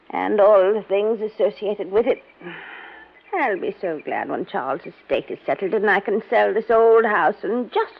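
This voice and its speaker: A woman's voice: lowish voice, gravelly, slightly rough, heavily acted. An actress playing a sprightly, refined elderly widow in a 1950s radio drama, chatty and ladylike. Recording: muffled old radio recording, faint hiss.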